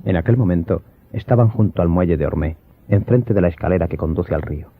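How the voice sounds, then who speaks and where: Audiobook narrator, male, very low and rough-edged, scripted delivery, muffled recording. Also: quick pace. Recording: dull lo-fi sound, faint music behind.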